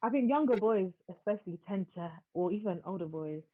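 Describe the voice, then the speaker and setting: A woman's voice: medium pitch, animated, a little fast. Emphatic young woman, tinny audio. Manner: emphatic, playful, jokey. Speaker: guest. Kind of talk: casual chat.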